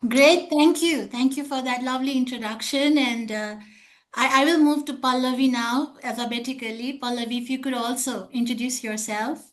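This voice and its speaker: A woman's voice: sits high, normal speed. A woman hosting an online talk session in a courteous, formal manner. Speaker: host of a virtual talk session. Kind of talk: polite hosting of a panel. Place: online video call.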